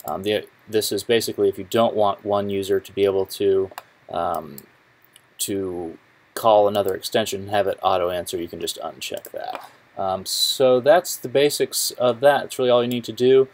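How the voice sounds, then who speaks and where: A young man presenting a casual tech tutorial in an informal, halting way, often correcting himself. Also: on the low side, fairly even intonation. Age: young adult. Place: small office cubicle indoors, then screen recording voice-over.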